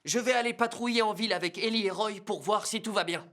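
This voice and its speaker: A woman's voice: medium pitch, quick pace, natural voice. A woman voicing a brave, level-headed rescue car that leads the team in a children's cartoon with decisive instructions. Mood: prudent. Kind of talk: dubbed children's cartoon acting. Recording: loud music behind the voice.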